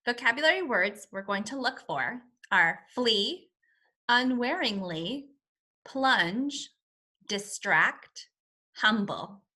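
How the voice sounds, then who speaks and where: A woman's voice: fairly high pitch, animated, takes it slowly, bright, forceful tone. A woman teacher reading a story aloud to young children, expressively, with simple word explanations. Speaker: first grade teacher.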